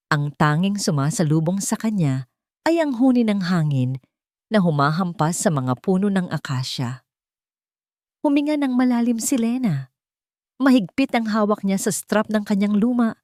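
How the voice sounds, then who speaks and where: A woman's voice: fairly low pitch, darkish tone, no room sound, clean studio sound. A woman reading a dramatic scripted story as its narrator.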